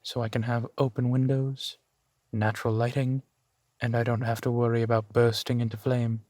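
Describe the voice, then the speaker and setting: A man's voice: mid-range voice, flat delivery, moderate pace, a little mellow, no room sound, clean studio sound, lightly acted. A man voicing a suave, genteel vampire centuries old, speaking unhurriedly and formally to the listener in an intimate audio roleplay. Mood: reassuring, wry. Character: suave male vampire, four centuries old, genteel, playfully amused host.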